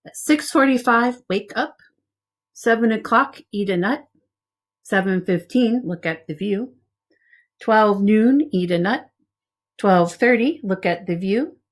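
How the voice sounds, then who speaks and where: Female preschool-teacher type, mid-pitched, for expressive picture-book read-aloud addressed straight to little kids. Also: takes it slowly. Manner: expressive storytelling, addressing young listeners directly. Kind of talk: children's read-aloud with casual asides.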